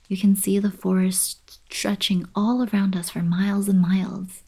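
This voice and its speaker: A woman performs a playful young alien princess in an intimate ASMR roleplay. Her voice sits slightly low, husky and breathy, with a crackle of fry. She talks casually and softly, like a fond, teasing college girlfriend. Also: heavily acted. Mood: soft, wistful. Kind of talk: intimate ASMR roleplay, scripted monologue to the listener.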